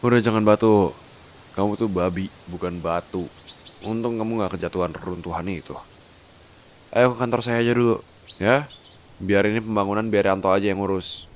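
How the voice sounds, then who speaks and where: A man acts a bossy friend and owner of a pet wolf in a bedtime story. He is protective and slangy, loudly scolding as he goes. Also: fairly low pitch, steady tone, moderate pace, pauses often, slight background noise, natural voice. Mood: apologetic, bossy. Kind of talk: acted bedtime story in ASMR roleplay.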